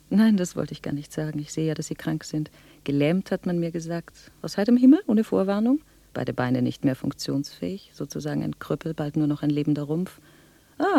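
A man's voice: a little high, vocal fry, dark tone, no echo. An actor playing a sly, falsely polite male visitor in a German radio drama, chatty, rambling and correcting himself with mock courtesy.

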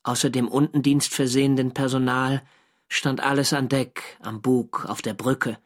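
An actor playing a wartime sailor narrating his diary in a measured, dryly wry way for a radio drama. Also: medium pitch, flat delivery, close to an everyday voice. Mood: sober, reporting.

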